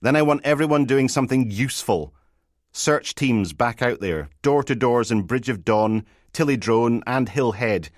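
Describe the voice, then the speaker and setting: A man's voice: medium pitch, animated, moderate pace, no echo, studio quality, voice pushed up, close to an everyday voice. A man voicing a grumpy, sarcastic, weary male police sergeant in an audiobook, curt and exasperated. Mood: brisk, commanding.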